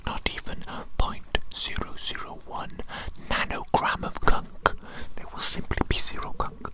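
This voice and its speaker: An ASMR performer plays an evil doctor who speaks in a breathy, unvoiced whisper. The delivery is fussy and theatrical, with phrases repeated obsessively in an intimate roleplay manner. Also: a little slow, lightly acted. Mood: exasperated, determined. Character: fussy evil doctor fixated on cleaning the listener.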